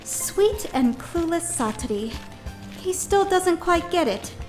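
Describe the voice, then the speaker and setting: A woman's voice: very high voice, expressive, slow pace, faint music behind, exaggerated voice, poor audio. An actress playing an earnest, smitten young schoolgirl heroine, with wistful narration and dialogue in a visual novel audio drama. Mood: lovestruck. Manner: bright-hearted, wistful inner narration and dialogue.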